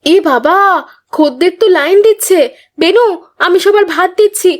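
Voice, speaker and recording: A woman playing an earnest, expressive young village food-stall keeper in a cartoon. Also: very high voice, normal speed. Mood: busy, friendly. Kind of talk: cartoon voice acting. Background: background music.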